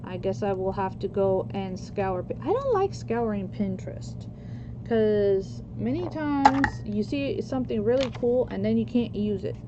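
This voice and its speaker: A woman in her forties from Costa Rica, chatting slowly with viewers as she works on a paper craft. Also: medium pitch, animated. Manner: slow, chatty, answers chat comments while working. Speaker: craft livestream host. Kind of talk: casual crafting chat with viewers. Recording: lo-fi with background noise.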